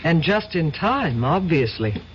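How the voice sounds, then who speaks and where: Playful bantering woman, arch and sure of herself, very expressive, noisy old radio drama. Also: deep voice, a little slow, highs cut off. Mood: teasing, playful. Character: teasing, playful, self-assured daughter of a law boss.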